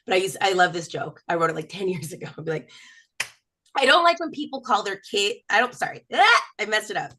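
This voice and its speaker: A woman's voice: mid-range voice, fast talker, a little hiss or hum. A woman from Texas doing a self-mocking stand-up comedy set on a video call. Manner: chatty, self-mocking, lively storytelling.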